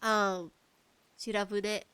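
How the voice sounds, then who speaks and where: A woman hosting a casual solo podcast about games, talking in an animated, playfully exasperated way with emphatic delivery. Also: medium pitch, slow, smooth. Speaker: podcast host.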